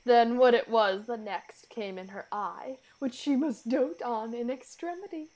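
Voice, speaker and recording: A woman playing a scheming, commanding fairy king in a radio play, with imperious, deliberate Shakespearean delivery. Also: a little high, a little mellow, natural voice, poor audio. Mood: expectant.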